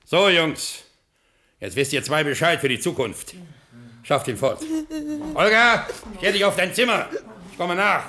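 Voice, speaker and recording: An actor playing a jealous, short-tempered gangster boss in a radio drama, curt and commanding, with a husky voice. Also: male voice, fairly high pitch, expressive, measured pace, long pauses, pushed and bright, full character voice. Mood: furious, commanding. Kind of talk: radio comedy crime drama acting.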